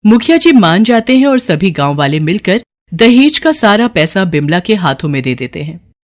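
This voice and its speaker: A woman's voice: medium pitch, very animated, normal speed. Woman storyteller reading out a scripted bedtime moral story. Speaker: storyteller narrator.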